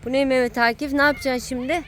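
Casting: woman with a fairly high voice, encouraging and teasing, chatting to a child at play; outdoor home video with some background noise. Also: steady tone, fast talker. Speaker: adult filming and cheering on a child at play. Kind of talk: casual playful running commentary. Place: outdoor playground.

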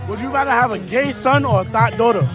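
A young man asking quick, slangy questions as a street interviewer in a busy city square, speaking into a handheld phone. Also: very high-pitched. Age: young adult. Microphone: handheld phone held to the mouth. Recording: loud crowd and music outdoors.

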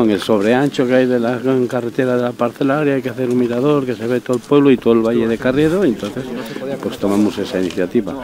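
A man's voice: medium pitch, darkish tone. A middle-aged man, a town mayor giving an informal interview outdoors. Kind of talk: informal on-site news interview. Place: outdoors on a hillside. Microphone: handheld recorder or phone held close.

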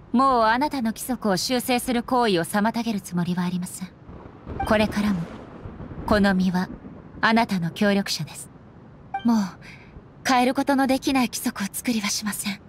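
A woman playing a stern, solemn immortal warrior goddess in a game, speaking formally and with measured deliberation. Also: a little high, a little hiss or hum, close to an everyday voice. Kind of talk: dramatic game voice acting. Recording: background music under the voice.

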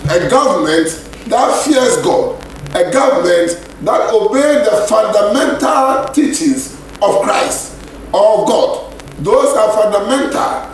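Ghanaian male radio preacher; high voice, unhurried and intoning, booming echo. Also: lively intonation. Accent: Ghanaian English. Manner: repeats and intones scripture lines slowly. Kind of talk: radio sermon. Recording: echoey radio broadcast with faint hiss.

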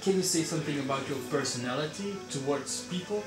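A man asking interview questions in a halting way, often rephrasing mid-sentence. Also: fairly high pitch. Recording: roomy sound with loud music behind.

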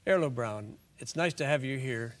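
An older man hosting a television program from a studio set, speaking in a measured, courteous way. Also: mid-range voice, lively intonation. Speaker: host. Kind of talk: scripted television presenting.

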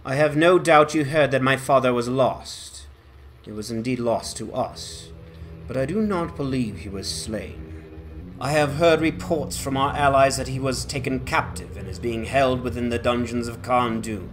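A man's voice: medium pitch, a little slow, a bit bright, faint music behind, natural voice. A man voicing a resolute, earnest woman, a lost leader's daughter, in formal fantasy game dialogue. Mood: resolute, grave.

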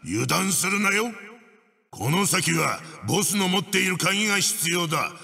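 An evil witch-doctor mask spirit from a video game, rings out in a big reverberant space over music. He is bossy and menacing, with archaic, pompous commands. The pitch sits high for a male voice and swings widely. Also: lively intonation, a little slow, creaky, pushed and bright, slightly rough, exaggerated voice. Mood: stern, impatient. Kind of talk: video game character voice-over, giving racing instructions.

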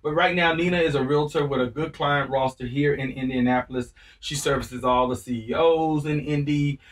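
A man hosting a radio interview, easygoing and quick with short affirmations, in a radio studio. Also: on the high side, fairly even intonation, recorded from a distance. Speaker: radio show host. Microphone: studio boom mic with headphones.

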